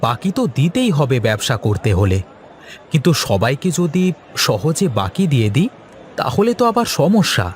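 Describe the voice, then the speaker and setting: A man's voice: medium pitch, sing-song, normal speed, a little hiss or hum, natural voice. A man voicing a practical, placating village trader and husband in a cartoon. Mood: confident, businesslike. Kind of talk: cartoon dialogue acting.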